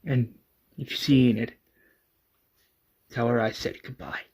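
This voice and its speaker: A man voicing a resolute, secretive woman fighter in a story, with a quiet and measured delivery. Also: medium pitch, steady tone. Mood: hushed remorse.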